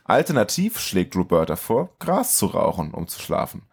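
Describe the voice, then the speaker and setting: A man's voice: fairly low pitch, huge pitch swings, moderate pace, slightly rough. A man co-hosting a film podcast in a casual, chatty style. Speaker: podcast co-host.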